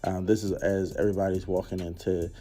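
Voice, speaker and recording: A man's voice: low-pitched, flat delivery, fast talker, mellow. A man giving a laid-back, slangy voice-over recap of his night out. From Philadelphia, United States. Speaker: concertgoer narrating his vlog.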